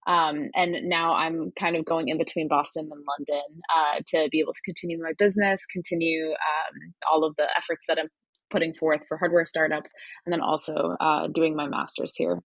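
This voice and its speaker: A woman's voice: sits low, little pitch movement, moderate pace, no echo, rough recording. Chatty woman with an American accent. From Turkey. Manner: chatty, many fillers and restarts. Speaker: podcast guest, hardware startup advisor. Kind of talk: casual interview conversation.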